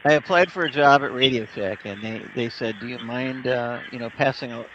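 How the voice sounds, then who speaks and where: A middle-aged man telling casual anecdotes with dry humor in a relaxed discussion. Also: mid-range voice, brisk. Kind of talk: casual storytelling. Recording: dull lo-fi sound, faint noise.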